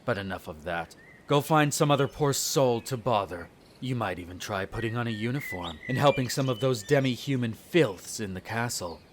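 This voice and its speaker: A man's voice: mid-range voice, animated, normal speed, noisy background, lightly acted. Guy doing a snobby grumpy vampire noble for audio roleplay, sneery and formal, some birds in the background. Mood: cold disdain. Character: haughty, irritable aristocratic male vampire, disdainful of humans. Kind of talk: roleplay audio drama acting. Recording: nature ambience with birdsong behind. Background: birdsong and garden ambience.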